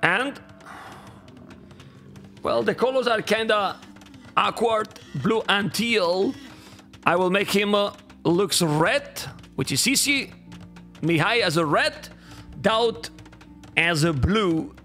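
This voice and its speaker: Hoarse Spanish-accented male caster, lively swings in pitch, faint music under a home stream. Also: takes it slowly, pauses often. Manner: animated, repeats phrases, talks to chat. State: losing his voice. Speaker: game caster. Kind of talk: live game commentary to chat. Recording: faint game music behind.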